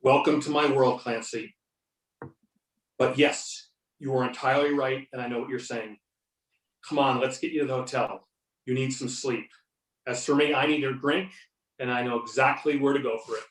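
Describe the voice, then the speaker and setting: A man's voice: mid-range voice, steady tone, normal speed, long pauses, lightly acted. A man acting a wry, easygoing federal agent in an amateur readers theater over a video call. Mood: dry, weary. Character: wry male FBI agent back in his hometown. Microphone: videoconference or conference call. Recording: roomy, distant videoconference mic.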